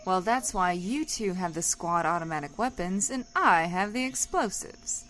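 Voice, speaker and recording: A woman's voice: fairly low pitch, expressive, normal speed, fairly bright tone, slight background noise. A woman playing a zealous, exasperated female squad leader in a comedic audio drama, with a commanding, impatient manner. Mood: gung-ho.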